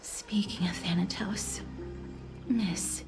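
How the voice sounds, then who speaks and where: A woman voicing a gentle, solemn young noblewoman in a video game, speaking softly in a polite, formal manner. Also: medium pitch, steady tone, slow pace, vocal fry, whispered, music in the background. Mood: solemn, grave. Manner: polite, formal, soft-spoken.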